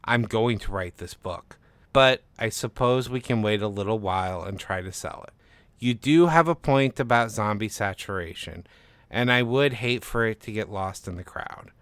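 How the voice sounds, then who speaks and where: Man playing a stubborn, defensive novelist, lower-pitched voice. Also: normal speed, fairly bright tone, no echo, lightly acted. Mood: grudging. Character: stubborn, defensive male novelist, petty and self-important. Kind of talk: dramatised short story reading.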